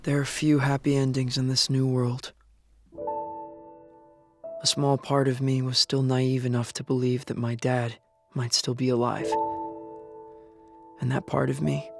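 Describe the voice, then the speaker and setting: A man's voice: medium pitch, flat delivery, measured pace, gravelly, pauses often. An actor playing a young male survivor of an apocalypse in a first-person audio drama, earnest, shaken and grieving for his father. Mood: bleak, haunted. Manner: somber, earnest storytelling with anguished outbursts. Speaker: lead actor and narrator. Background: music.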